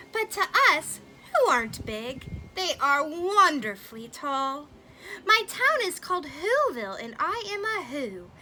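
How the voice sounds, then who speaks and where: A woman voicing a tiny, grateful male mayor of a microscopic town, with a high piping manner. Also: sharp tone, slight background noise, heavily acted.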